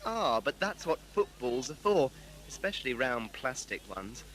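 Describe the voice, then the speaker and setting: A man's voice: mid-range voice, big pitch swings, normal speed, fairly bright tone, slight background noise. A man playing a cheeky young companion in an amateur audio drama, speaking in a playful, whimsical, casual way.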